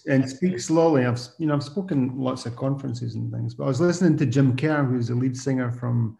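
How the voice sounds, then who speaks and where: Gravelly Scottish man, relaxed and roomy. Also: medium pitch, a little fast. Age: middle-aged. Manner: relaxed, rambling with restarts. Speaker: guest, wine blogger. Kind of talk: casual conversation.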